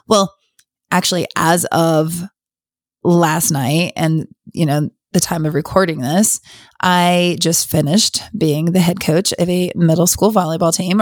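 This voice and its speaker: A middle-aged woman hosting her own podcast, talking in an open, confessional, conversational way, her voice slightly hoarse. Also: on the low side, no room sound, studio quality. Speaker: podcast host. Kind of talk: casual solo podcast talk.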